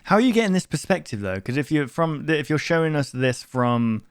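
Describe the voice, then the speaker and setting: A man's voice: a little high, sing-song, fairly quick, clean tone, no echo, soft background music. A man hosting a reaction video, commenting casually and musing aloud in a rambling way.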